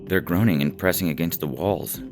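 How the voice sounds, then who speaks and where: A man's voice: low-pitched, a little fast, over music, lightly acted. A man voicing a frantic, exasperated man under attack by zombies in an audio story, with slangy talk. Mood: scared, impatient. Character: panicked young man besieged by zombies, exasperated caller.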